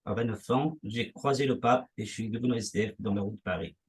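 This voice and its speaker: A man with a low, husky voice reads a prepared text about his own life. He delivers it in short, measured lines with a pause after each one. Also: a little mellow. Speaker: panelist reading his life story. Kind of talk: reading a prepared text aloud.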